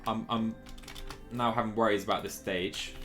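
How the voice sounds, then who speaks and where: Low-voiced man streaming over music. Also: little pitch movement, normal speed, fairly bright tone. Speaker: live streamer playing a cycling management game. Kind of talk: casual live-stream chat and game commentary. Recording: music playing behind the voice. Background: background music.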